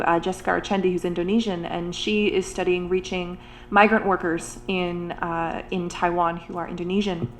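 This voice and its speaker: A woman's voice: fairly low pitch, slight background noise. A woman researcher speaking in an earnest roundtable discussion, with frequent fillers and restarts. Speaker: university researcher and supervisor.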